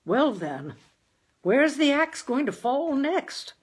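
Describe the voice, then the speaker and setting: A woman voicing a gruff, dry-witted older New England father with a terse, husky delivery, in a story reading. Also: high voice, very animated, slow pace, pitched above the performer's own voice, heavily acted. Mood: troubled. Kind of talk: audiobook character dialogue.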